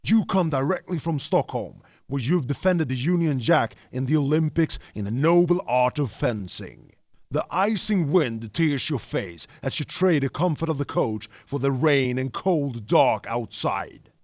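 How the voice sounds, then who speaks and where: A solemn male storyteller narrates a gothic horror game with theatrical, ominous weight. His voice sits at a medium pitch. The recording is close and dry, with the dulled top end of compressed, lo-fi game audio. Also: expressive, a little slow, no room sound. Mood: grave, ominous. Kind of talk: dramatic scripted second-person storytelling narration.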